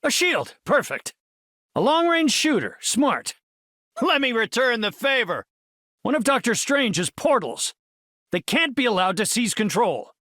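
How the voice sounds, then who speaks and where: A man's voice: very high-pitched, sing-song, unhurried, bright, forceful tone, no echo, full character voice. A brainy middle-aged male superhero scientist from a video game, earnest and confident. His speech is formal and articulate, with widely swinging intonation, captured very dry and close. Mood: urgent, commanding. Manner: articulate, formal, erudite wording. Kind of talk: video game character voice lines.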